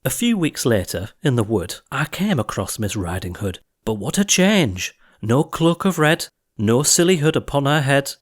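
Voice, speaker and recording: A man's voice: mid-range voice, big pitch swings, moderate pace. A man reading scripted storybook verse as an audiobook narrator, with theatrical, rhythmic delivery.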